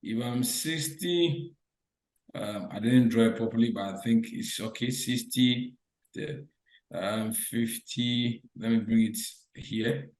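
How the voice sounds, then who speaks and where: A man's voice: medium pitch, takes it slowly, darkish tone, reverberant, rough recording. A man teaching an online lecture who quizzes students with repeated short questions and restates his points. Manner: repetitive call-and-response questioning.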